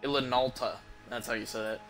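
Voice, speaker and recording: A man's voice: medium pitch, moderate pace, bright, forceful tone, soft background music. A man giving casual, profane and easily amused commentary while streaming a game from a room at home.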